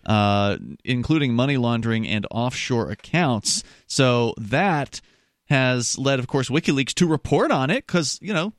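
A man from the American South co-hosting a talk radio show, emphatic and quick to jump in. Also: medium pitch, expressive, dry recording. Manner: emphatic, quick to agree and interject. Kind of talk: casual talk radio chat. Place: radio studio. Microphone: studio mic, close.

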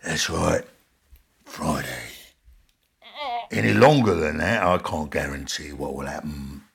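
An actor in an audio story playing a huge male thug with a slow, deliberate, mock-friendly menace and a gravelly, husky voice. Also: a bass voice, heavily acted. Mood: threatening. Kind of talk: dramatised audio story dialogue.

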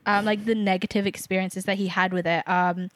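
A young woman co-hosting a casual chat, talking thoughtfully with many fillers and pauses. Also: medium pitch, flat delivery, no echo. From England. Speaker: podcast co-host.

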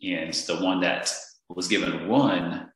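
A man from Northern Virginia, a guest on a podcast, speaking earnestly in a halting way with many fillers and restarts. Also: low-pitched, steady tone, a bit bright. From Northern Virginia, USA. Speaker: podcast guest, real estate investor. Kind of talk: casual interview conversation. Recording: echoey room.

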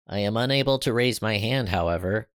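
A man voicing a polite, calm robot built by a boy, speaking in flat, literal, formal phrases. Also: on the low side, brisk, dry recording, studio quality, lightly acted.

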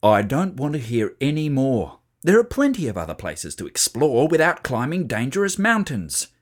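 A man's voice: mid-range voice, wildly swooping pitch, moderate pace, pitched above the performer's own voice. A man voicing a stern, firm father in a children's storybook who will not budge. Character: stern, unbudging father forbidding his children.

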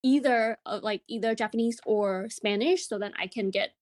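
A woman whose first language is Chinese, talking casually as an interview guest, relaxed, with fillers and frequent laughs. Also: on the high side, normal speed, dry recording. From China. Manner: easygoing, many fillers, laughs often. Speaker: guest, business co-founder.

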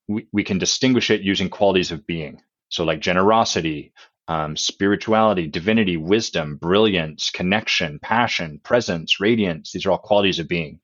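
A man, the author and guest, explaining his concepts in a relaxed podcast chat with examples and analogies, with a loud voice. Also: deep voice, dull audio.

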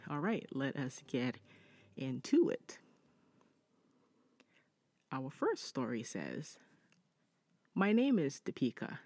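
Gravelly, close-sounding man hosting. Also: medium pitch, wildly swooping pitch, slow pace, pauses often, a little mellow, dry recording. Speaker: podcast host. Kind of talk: casual chatty hosting, reading out listener stories.

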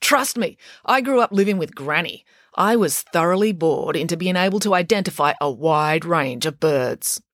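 A woman voicing an excitable, indignant middle-aged mother who tells tall tales in an audiobook, with dramatic emphasis. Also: on the low side, normal speed, no echo, studio quality, lightly acted. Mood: insistent. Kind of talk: audiobook character dialogue.

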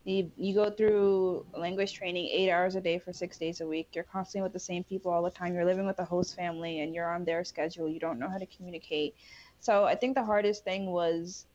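A woman's voice: fairly low pitch, steady tone, fairly quick, darkish tone. Chatty young woman, dull lo-fi sound. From Bangladesh, raised in New York City. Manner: chatty, frequent fillers and restarts. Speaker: interview guest, Peace Corps volunteer. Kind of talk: casual interview answers.

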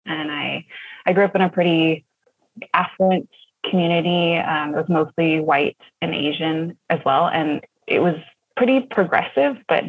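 Guest, woman from San Diego, thoughtful and hesitant, husky, tinny phone-like line. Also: low voice, normal speed, dry recording. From San Diego, United States. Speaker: podcast guest, co-producer. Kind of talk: casual interview storytelling.

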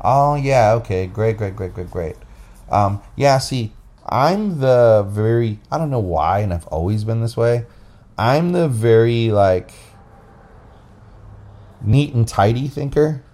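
A man's voice: mid-range voice, animated, slow pace, a little mellow. A man co-hosting a casual, unscripted podcast, explaining things in a rambling way into a phone. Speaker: podcast co-host. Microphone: recorded into a phone app.

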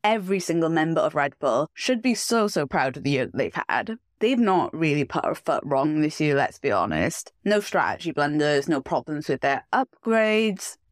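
Woman, lowish pitch, dry close sound, slightly rough from a cold, enthusiastic, emphatic, solo sports podcast host. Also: big pitch swings, moderate pace, few pauses, no echo.

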